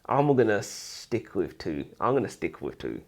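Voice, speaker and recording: A man's voice: lowish voice, very animated, normal speed. A man co-hosting a book show in casual banter, rambling along in colloquial, slangy speech. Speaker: co-host of a book review show.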